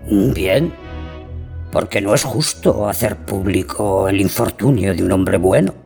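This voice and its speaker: A man playing a sly, gossiping sprite in a radio drama, measured and conspiratorial. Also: fairly low pitch, vocal fry, darkish tone. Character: sly, gossiping male sprite commenting on the tale. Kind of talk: stage-like radio drama acting. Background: music.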